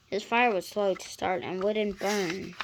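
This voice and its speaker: A woman reading a children's story aloud in an expressive style. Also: medium pitch, measured pace, creaky, noisy background. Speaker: story reader.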